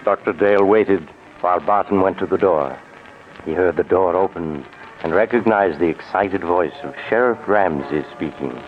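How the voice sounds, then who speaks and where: Man as a deep, grave mystery-radio narrator. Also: normal speed, close to an everyday voice. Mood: grave, suspenseful. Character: grave, suspenseful male storyteller of eerie mystery tales. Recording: muffled vintage radio recording. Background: faint music.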